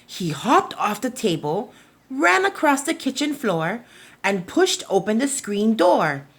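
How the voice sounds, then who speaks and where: A woman reads aloud to preschoolers from a picture book. She takes her time, shaping each phrase with big, animated swings of pitch in an expressive storyteller's manner. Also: breathy edge. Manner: expressive, deliberate storytelling for young children.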